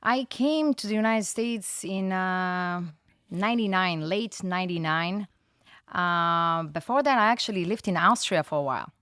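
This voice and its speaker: Guest, woman, 40s, Bulgarian accent, non-native English, lower voice, close dry mic, matter-of-fact. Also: a little slow, fairly bright tone, clear, resonant tone, no room sound. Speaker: wine educator guest. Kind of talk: casual interview chat. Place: studio.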